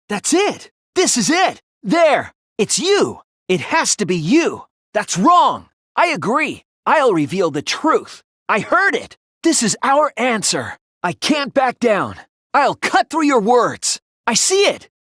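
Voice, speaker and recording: A man voicing an earnest teenage boy detective in a dramatic anime-style video game, hesitant at times and forceful when arguing. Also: very high-pitched, dry recording, clean studio sound, heavily acted. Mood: forceful, determined.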